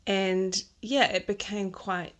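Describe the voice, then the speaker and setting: A woman's voice: mid-range voice, steady tone, slow pace, fairly bright tone. A woman, a podcast guest talking through her career in a thoughtful way, with many ums and restarts. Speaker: podcast guest, textile designer. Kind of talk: casual interview conversation.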